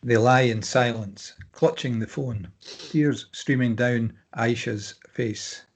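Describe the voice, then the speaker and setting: Male narrator for table read stage directions, slightly rough husky tone, middle register. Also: mid-range voice, takes it slowly. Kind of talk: scripted narration.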